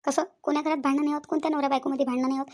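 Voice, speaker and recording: Cartoon village auntie, voiced by a woman: middle-aged placating peacemaker, high-pitched, brisk, chatty, Varhadi Marathi accent. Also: level tone, darkish tone, natural voice. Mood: persuasive, reassuring. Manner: talkative, reassuring, repetitive persuasion. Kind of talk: comedy cartoon dialogue.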